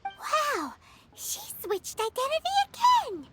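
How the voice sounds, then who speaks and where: A tiny, chirpy video game companion, childlike and blunt. The voice is extremely high and takes its time, with excitable, nosy delivery. Music plays prominently behind it. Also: female voice, slow, exaggerated voice. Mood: excited, amazed. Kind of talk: video game character acting.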